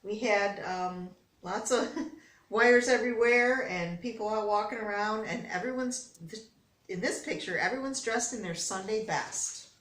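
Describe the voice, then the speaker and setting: Older American woman, mid-pitched, picture-book read-aloud with chatty asides, recorded in a roomy space away from the mic. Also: unhurried, fairly bright tone. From Detroit, United States. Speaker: storybook reader. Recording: roomy, echoey, distant microphone.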